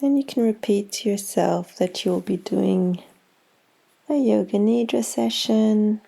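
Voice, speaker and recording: A woman guiding a yoga nidra meditation in a calm, soothing, unhurried manner with long pauses. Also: medium pitch, gravelly, breathy edge. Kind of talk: guided meditation.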